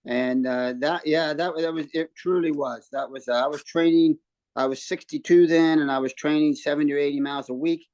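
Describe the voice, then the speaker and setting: A man in his seventies from West Virginia tells his story in a relaxed, rambling way, with plenty of ums and restarts. His fairly high voice sounds close and dry, with no room around it. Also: a little fast, dry recording, lo-fi sound. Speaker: guest, former runner and coach. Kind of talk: casual interview chat. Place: hotel room.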